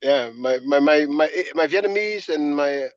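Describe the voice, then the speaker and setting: A man whose first language is Danish, speaking haltingly with many restarts and soft laughs in a casual conversation. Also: fairly high pitch, no echo, dull audio.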